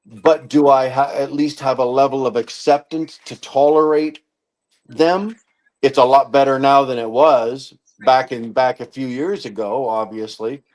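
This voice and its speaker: Casting: middle-aged man, mid-pitched, loose rambling talker who swears and circles back on his points. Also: fairly even intonation, a little slow, darkish tone. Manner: rambling, profane, trails off and restarts. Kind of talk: casual chat.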